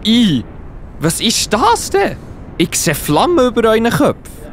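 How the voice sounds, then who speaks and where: A man with a Swiss German accent playing an excitable, puzzled disciple in a children's Bible audio drama. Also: very high voice, a little slow, slight background noise, exaggerated voice. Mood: puzzled, astonished.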